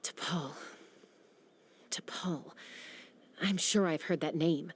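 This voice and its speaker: Blunt tough gunslinger. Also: female voice, low-pitched, extremely slow, slight background noise. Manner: blunt, commanding, clipped questions. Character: tough young female gunslinger interrogating a prisoner. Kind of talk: game cutscene voice acting.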